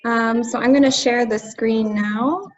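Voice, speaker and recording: An American woman tutoring German in a relaxed, chatty way, using casual slang. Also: fairly high pitch, unhurried. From United States. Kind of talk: casual webinar teaching. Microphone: video call. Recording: dull lo-fi video call audio.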